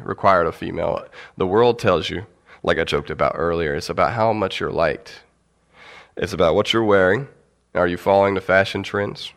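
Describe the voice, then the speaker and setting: A young man with a cold giving a casual, halting Bible teaching to his church, with fillers and light laughs. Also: sits low, fairly bright tone. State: has a cold.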